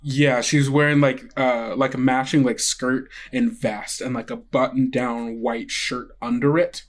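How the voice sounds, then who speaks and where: A man's voice: medium pitch, fairly even intonation, unhurried. A man narrating a tabletop game as game master, improvising hesitantly in a casual way. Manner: hesitant, frequent "like" and "kind of".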